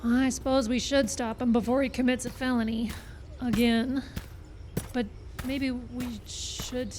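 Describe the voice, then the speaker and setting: A woman plays a level-headed skeptic on a film crew in a radio drama. Her high voice is dry and reasoning, over faint noise in a live recording. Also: flat delivery, measured pace, natural voice. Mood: skeptical, patient. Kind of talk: live radio play acting. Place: live radio show in a park.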